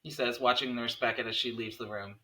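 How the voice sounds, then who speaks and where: A man's voice: medium pitch, steady tone, fairly quick, pushed and bright, slight background noise. A man reading screenplay stage directions as scripted narration at a table read.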